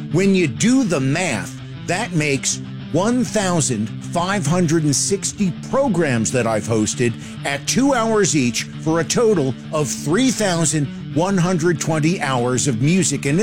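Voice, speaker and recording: A middle-aged male rock radio host with a raised, showy announcer voice. He reads scripted show intros with wry flair over a clear guitar music bed. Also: on the high side.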